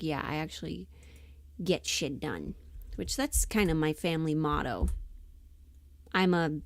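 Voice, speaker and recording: A woman's voice: fairly low pitch, a little mellow, no echo. A woman chatting casually on a livestream, informal and rambling, muttering asides to herself as she thinks aloud. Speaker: livestream host and amateur voice performer.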